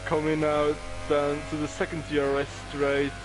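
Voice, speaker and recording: A man's voice: a little high, completely flat delivery, moderate pace, over music, poor audio. Hesitant male co-commentator on a sim race. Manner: hesitant, filler-heavy color commentary.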